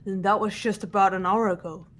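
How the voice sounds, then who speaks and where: Woman acting a forceful, insistent student. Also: mid-range voice, fairly even intonation, fairly quick, soft background music, close to an everyday voice. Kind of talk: dramatic video game voice acting.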